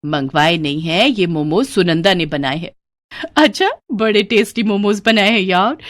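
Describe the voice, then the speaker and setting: A woman voicing gushing, excited party friends who speak high and loudly. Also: dry recording, clean studio sound, lightly acted.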